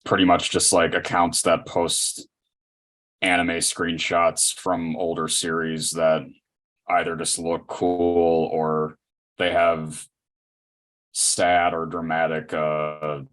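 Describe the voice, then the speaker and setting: A man with a low voice and little melody in it. He talks slowly and haltingly, chatting casually as a guest on a podcast. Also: monotone, fairly bright tone. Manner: hesitant, halting. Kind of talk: casual chat.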